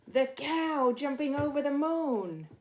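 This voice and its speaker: A woman's voice: high-pitched, animated, takes it slowly, slight background noise. A middle-aged woman reading a picture book aloud to children, talking simply and directly to her young listeners. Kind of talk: read-aloud storytime for children.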